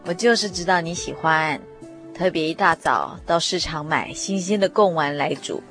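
A woman's voice: lowish voice, moderate pace, a bit bright, faint music behind. An actress playing an affectionate, indulgent grandmother in a radio drama. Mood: hospitable. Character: kindly, doting, devout grandmother at New Year.

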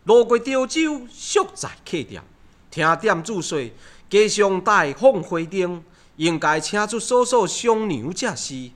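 A man's voice: sits high, sing-song, slow, fairly bright tone, full character voice. A man playing a refined, courteous young scholar, declaiming formally in Taiwanese opera style. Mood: stately. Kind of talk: Taiwanese opera recitation and dialogue.

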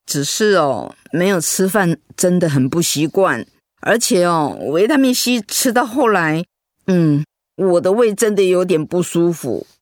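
Stubborn, expressive old mother. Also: on the low side, unhurried, no room sound, studio quality. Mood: chatty, persuading. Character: stubborn eighty-year-old mother refusing treatment. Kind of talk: Mandarin radio drama acting.

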